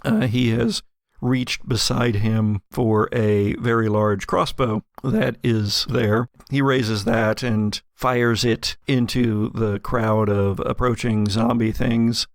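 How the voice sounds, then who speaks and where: A man running a horror tabletop roleplaying game as game master, narrating descriptively with drawn-out emphasis. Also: medium pitch, fairly even intonation, no echo.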